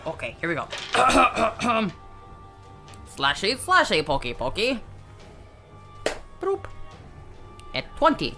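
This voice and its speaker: A woman's voice: fairly high pitch, wildly swooping pitch, takes it slowly, pauses often, music in the background. A woman playing a tabletop game, chatting casually and playfully with little made-up sound words.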